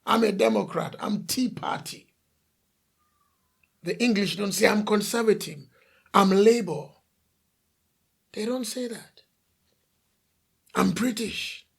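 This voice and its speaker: A man's voice: sits high, slow pace, vocal fry, long pauses, slightly rough. A man from The Gambia preaching a sermon as a pastor, emphatic, asking rhetorical questions and repeating lists of phrases.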